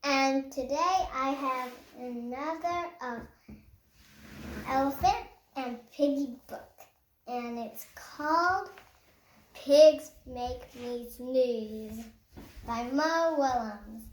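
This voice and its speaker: A woman's voice: high-pitched, extremely slow. A woman hosting a children's picture book read-aloud. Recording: roomy echo, faint background noise.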